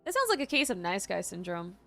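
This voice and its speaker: A woman's voice: mid-range voice, wildly swooping pitch, fast talker, sharp tone, soft background music. A woman doing casual gaming commentary, slangy and chatty, talking back to the characters. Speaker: streamer reacting to a game.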